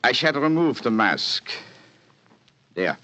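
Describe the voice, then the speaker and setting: An actor playing a proud, secretive Bohemian king, speaking in a stately, halting, formal manner in a 1940s radio drama. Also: mid-range voice, very animated, bright, forceful tone, highs cut off, exaggerated voice. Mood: uneasy, regal.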